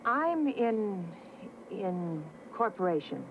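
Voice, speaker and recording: A woman's voice: medium pitch, sing-song, slow, narrow, tinny audio. An actress playing a nervous woman traveler who chatters and bluffs in a flustered, fibbing manner. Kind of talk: 1980s TV movie romantic comedy. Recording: thin TV audio with faint hum.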